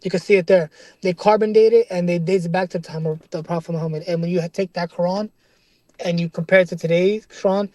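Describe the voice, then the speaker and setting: Young male debater with a high voice and dry sound; earnest and casual, talks in restarts and keeps asking "right?". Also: fairly even intonation, fast talker, a little mellow, dry recording. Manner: casual slang, calls listener "bro".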